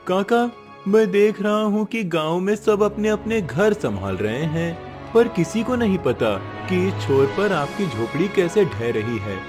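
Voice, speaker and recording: A man's voice: sits high, animated, a little slow. A man playing a concerned, admiring young village man in a moral story, speaking earnestly and emphatically. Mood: concerned, indignant. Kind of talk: Hindi moral story voice acting. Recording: music behind the voice.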